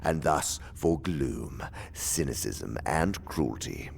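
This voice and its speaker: An actor playing a haughty, scheming senior demon who lectures in a pompous, theatrical, condescending way, in a radio drama. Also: male voice, animated, slow, a little mellow, slight background noise, full character voice. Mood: grave, menacing. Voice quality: very deep, gravelly.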